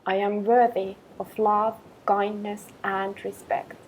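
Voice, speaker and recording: A woman leading a guided meditation with affirmations, speaking in a calm, gentle, measured way with long pauses. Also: medium pitch, slight background noise, low-quality recording.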